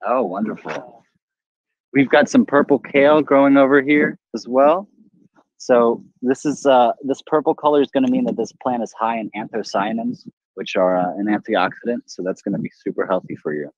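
A man's voice: medium pitch, moderate pace, no room sound, highs cut off. A young man, a chef giving an easygoing cooking demo outdoors in a garden. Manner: easygoing explaining.

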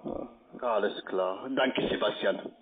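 A man's voice: on the low side, takes it slowly, over a noisy crowd. Curt foreign-accented man from call center. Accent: foreign accent in German. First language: not German. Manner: curt, confrontational. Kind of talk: argumentative phone call. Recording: tinny phone line, busy call center.

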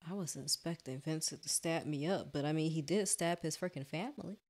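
A woman doing a let's play, reading a visual novel aloud and exclaiming expressive reactions. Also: low voice, fairly quick. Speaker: gaming streamer. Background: game music.

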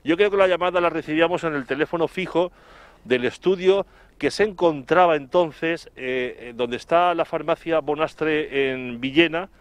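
A middle-aged man with an accent from Spain, reporting live outdoors in a wordy, halting way, repeating words as he recalls things. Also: a little high, clean tone, no echo. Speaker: television reporter. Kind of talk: live report, spoken recollection. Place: outdoors in front of an office building. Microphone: handheld microphone, through a face mask.

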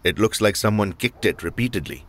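A man playing an analytical older psychologist in an audio drama, deliberate, composed and clinical. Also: on the low side, a bit bright, close to an everyday voice. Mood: calm, analytical.

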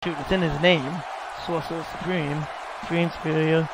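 A man commentating his own wrestling video game match in loud, excitable play-by-play, exclaiming and swearing at the big moves. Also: a little high, moderate pace. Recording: loud game crowd and noise behind.

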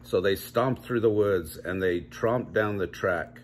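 An older man reading a children's story aloud at home in measured, drawn-out storybook phrasing. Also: low voice, a little hiss or hum. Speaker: grandpa reading a picture book aloud. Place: small home room, indoors.

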